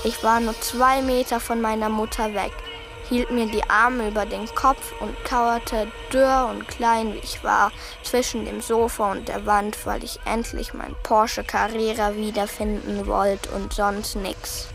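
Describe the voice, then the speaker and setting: Haunted woman telling a childhood memory, really slow, radio play, music under it. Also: on the high side, fairly even intonation, vocal fry, rough recording. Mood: haunted, recollecting. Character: woman recalling childhood trauma of mother's death, haunted, restrained. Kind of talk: radio drama monologue.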